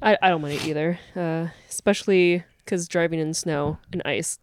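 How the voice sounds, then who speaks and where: A woman's voice: on the low side, steady tone, normal speed, a little hiss or hum. An American woman co-hosting a podcast with casual, chatty, informal and jokey banter. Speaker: podcast co-host.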